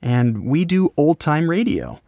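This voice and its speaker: An American man being interviewed, explaining his creative work in a casual, rambling, halting conversational way. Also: on the high side, sing-song, gravelly, dark tone, dry recording. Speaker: podcast host, voice actor and scriptwriter being interviewed.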